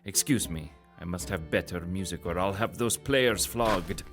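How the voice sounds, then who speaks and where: Pompous bossy court man, low voice, audio drama, music playing under him. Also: normal speed, fairly bright tone. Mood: imperious.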